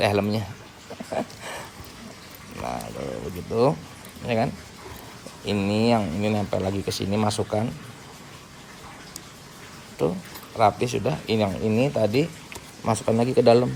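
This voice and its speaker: A man's voice: on the low side, a little mellow. A man giving a casual hands-on tutorial, informally talking through each step as he works. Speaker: tutorial host. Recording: clearly noisy background.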